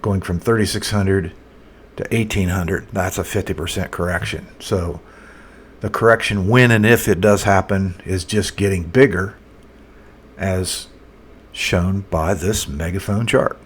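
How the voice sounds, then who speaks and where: Male market analyst, low-pitched, off-the-cuff and halting chart walkthrough, some background noise. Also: fairly even intonation. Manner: halting, thinking aloud. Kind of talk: casual unscripted chart commentary.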